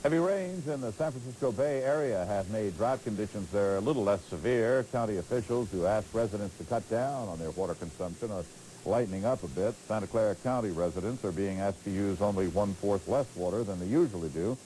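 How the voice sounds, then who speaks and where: A man's voice: lowish voice, a little fast, dark tone, poor audio. An American man anchoring a scripted television newscast, heard on a hissy late-eighties tape. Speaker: news anchor.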